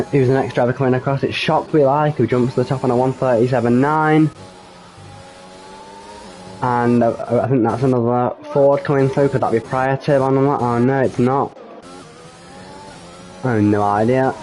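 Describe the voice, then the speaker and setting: A man giving solo live commentary on an online racing league, slightly croaky from a cold, hesitant with frequent ums and self-corrections. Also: mid-range voice, darkish tone, a little hiss or hum, rough recording.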